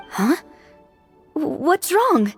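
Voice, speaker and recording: Tiny fairy sidekick for an anime game: very high squeaky child voice, swooping and easily scared. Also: female voice, full character voice. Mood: frightened. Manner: exclamatory, gasping, wide-eyed. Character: small childlike fairy companion, excitable and easily frightened.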